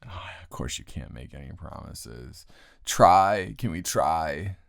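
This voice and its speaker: A man playing a grumpy, tired young male roommate who is secretly smitten, in a halting, exasperated, intimate improvised audio roleplay. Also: expressive, dry recording, full character voice. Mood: exasperated, teasing. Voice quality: very deep, gravelly, close and dry.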